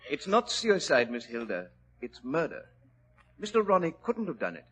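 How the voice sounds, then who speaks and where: A formal, deferential butler. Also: male voice, on the high side, wildly swooping pitch, normal speed, husky, full character voice. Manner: polite, formal. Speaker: Peters. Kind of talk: 1940s radio acting. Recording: old radio recording, faint hiss.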